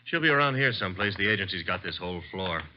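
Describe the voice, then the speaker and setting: An actor playing a cocky, wisecracking detective's assistant in an old radio drama, slangy and flippant. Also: male voice, low voice, lively intonation, quick pace, a little hiss or hum. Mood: wry. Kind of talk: 1940s-50s radio drama acting. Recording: dull vintage radio recording.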